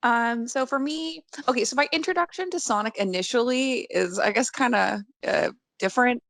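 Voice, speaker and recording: A woman's voice: a little high, moderate pace, vocal fry, fairly bright tone, dry recording. A woman chatting casually on a movie podcast, speaking informally and peppering her sentences with "like". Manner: informal, heavy use of "like". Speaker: podcast guest.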